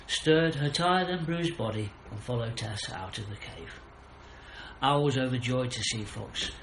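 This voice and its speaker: A man narrating a children's audiobook with dramatic storytelling, recorded in a roomy space. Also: mid-range voice. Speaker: author narrating own audiobook. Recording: roomy, faint background noise.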